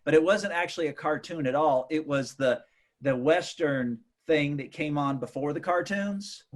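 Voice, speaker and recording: A man chatting casually as a podcast guest, often thinking aloud in a hesitant, halting way. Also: a little high. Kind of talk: casual chat.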